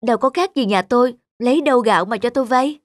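Earnest, humble villager. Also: male voice, very high voice, fast talker, no echo. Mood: worried. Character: humble, worried big strong poor villager father. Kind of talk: cartoon folk tale acting.

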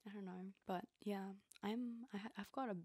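A young woman reading a story aloud in a slow, soft, breathy ASMR whisper, her voice low. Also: steady tone, no echo. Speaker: ASMR host. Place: dark room lit by a candle.

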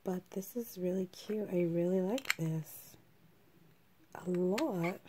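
A woman with a froggy, hoarse voice from a cold, chatting casually as she unboxes beauty products at her vanity table, often half-whispering and clearing her throat. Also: fairly low pitch, takes it slowly, background noise. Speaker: beauty vlogger. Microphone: camera over the table, hands only in view.

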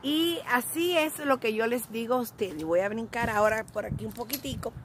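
A woman's voice: fairly high pitch, normal speed, slight background noise. A middle-aged Cuban-born woman vlogging outdoors into a phone held at arm's length, with a theatrical, self-praising, sing-song manner. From Cuba. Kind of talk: casual self-filmed vlog. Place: outdoors, by a grassy lot.

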